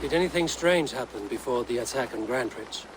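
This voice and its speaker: An actor playing a serious Viking investigator in a video game, speaking in a direct, questioning way. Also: male voice, medium pitch, expressive, a little fast, background noise, close to an everyday voice. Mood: probing. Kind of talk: video game character acting.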